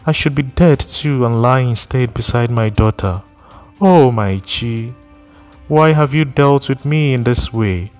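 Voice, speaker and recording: A male narrator plays a desolate young mother in a spoken storybook. Her speech is anguished and despairing, pitched high above the narrator's own voice. Faint music sits behind. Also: a little slow, natural voice.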